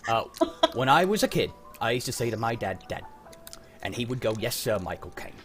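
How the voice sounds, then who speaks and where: An animated man hosts a loose, joking quiz over prominent background music. His pitch moves around a lot, and his humor is crude and casual. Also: brisk. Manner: crude, jokey. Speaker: quiz host.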